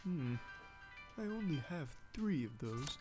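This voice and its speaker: An actor playing an excitable, eccentric junkyard man in a video game, speaking in a halting, hesitant way. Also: on the high side, over music. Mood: bargaining. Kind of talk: video game character acting.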